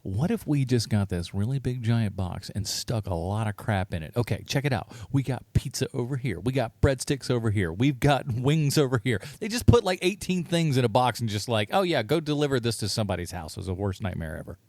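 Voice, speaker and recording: A man's voice: mid-range voice, a little fast, hardly any pauses, dry recording. An American man, middle-aged, co-hosting a podcast with casual comedic chat, acting out the lines he quotes as he tells stories. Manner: animated storyteller who acts out quoted lines. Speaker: podcast co-host.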